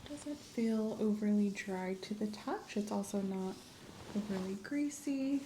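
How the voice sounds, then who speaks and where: Female ASMR examiner: soft and often whispered, dark relaxed tone, gentle clinical delivery, slight background noise. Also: mid-range voice, unhurried, rough recording. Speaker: ASMR performer playing a medical examiner. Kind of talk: ASMR medical exam roleplay.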